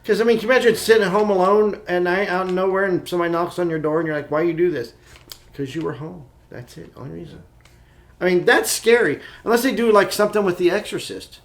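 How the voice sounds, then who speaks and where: A man with a fairly high-pitched voice talks as a podcast co-host. His delivery is informal and enthusiastic, offering opinions freely as in a casual chat among friends. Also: quick pace.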